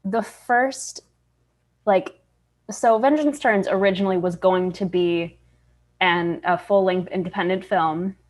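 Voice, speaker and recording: Unhurried woman answering interview questions. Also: mid-range voice, steady tone, darkish tone. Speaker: voice actress being interviewed. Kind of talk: casual interview chat. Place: indoors on a group video call. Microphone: video call.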